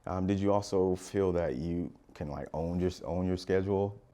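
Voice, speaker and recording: A man's voice: low-pitched, normal speed, dark tone. A man hosting a podcast interview, easygoing and affirming, often saying it makes sense. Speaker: podcast host.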